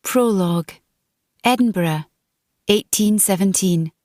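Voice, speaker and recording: A woman reading dramatic fiction as an audiobook narrator, in a measured, deliberate storytelling manner. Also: mid-range voice, dark tone, no room sound, studio quality.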